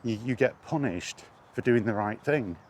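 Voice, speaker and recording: A man's voice: medium pitch, animated, moderate pace, a little mellow, a little hiss or hum. A man ranting indignantly in a casual spoken vlog, recorded while walking outdoors. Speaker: gaming vlogger.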